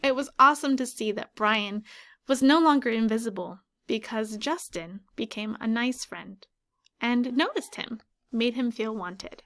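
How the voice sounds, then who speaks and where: A woman teacher reading a children's picture book aloud, pausing to ask her listeners questions about the story. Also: on the high side, a bit bright.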